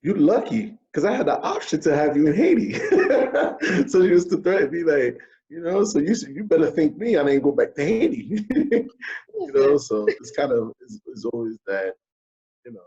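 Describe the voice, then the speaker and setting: A man's voice: a little high, sing-song, moderate pace, dark tone. Young man chatting on a dull webcam. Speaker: guest. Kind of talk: casual video-call interview. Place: kitchen, indoors. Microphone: video call webcam. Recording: slightly dull webcam audio.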